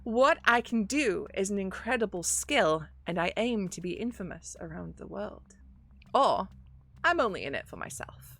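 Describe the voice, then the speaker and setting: A woman's voice: mid-range voice, sing-song, normal speed, vocal fry, fairly bright tone. A woman acting as game master on a stream, reading out rules and options with an upbeat, laughing manner. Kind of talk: running a tabletop game over a stream.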